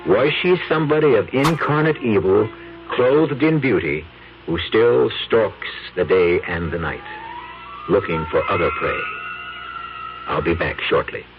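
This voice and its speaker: A man's voice: fairly low pitch, lively intonation, measured pace, over music. A man hosting a radio mystery with theatrical, ominous, rhetorical scripted narration. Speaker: host and narrator. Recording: dull vintage radio recording.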